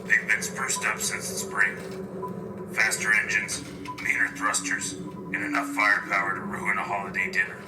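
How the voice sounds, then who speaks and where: An actor playing a hardened frontline war correspondent, terse, deadpan and sardonic in a scripted sci-fi field report. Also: male voice, on the low side, moderate pace, lightly acted. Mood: grim, sardonic. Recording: echoey, distant field transmission, music behind.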